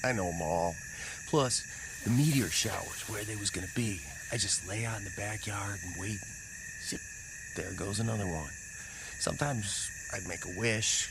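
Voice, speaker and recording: A man's voice: fairly low pitch, moderate pace, background noise, lightly acted. A man playing a jittery young private. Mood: rambling. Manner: jittery, folksy rambling. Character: nervous young private on night watch. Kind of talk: classic radio drama acting.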